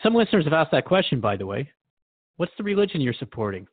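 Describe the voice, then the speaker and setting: A man's voice: fairly high pitch, big pitch swings, quick pace, husky, no echo, phone-quality sound. Man as a thoughtful radio interviewer, dry close sound. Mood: reflective. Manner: reflective, questioning. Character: Jeff, thoughtful, inquiring male radio host. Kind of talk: conversational radio drama interview.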